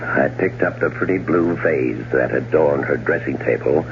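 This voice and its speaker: Deep-voiced, urbane, cold-blooded husband. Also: male voice, normal speed, creaky, noisy background. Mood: cool, controlled. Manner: dry, urbane, precise. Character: fastidious, coldly murderous husband narrating his crime. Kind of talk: 1970s radio drama first-person narration. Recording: muffled old radio broadcast recording.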